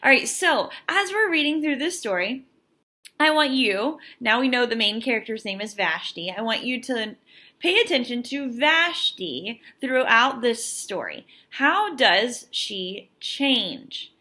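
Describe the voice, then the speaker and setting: A young adult woman, a teacher reading a picture book aloud to children with expressive, emphatic delivery and teaching asides. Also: fairly high pitch, measured pace.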